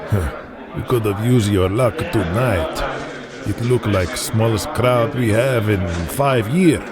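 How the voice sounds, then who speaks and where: An actor playing a weary wrestling booker who speaks halting, broken English in an audio drama. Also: male voice, lowish voice. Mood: noncommittal. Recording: music playing behind the voice.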